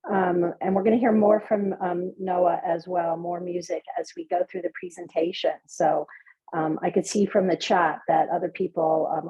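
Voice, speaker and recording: A woman hosting a live video call event, pausing often with ums as she introduces music and speakers. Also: on the low side, warm tone, breathy edge. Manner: hesitant, many ums. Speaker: event host. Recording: muffled video call audio.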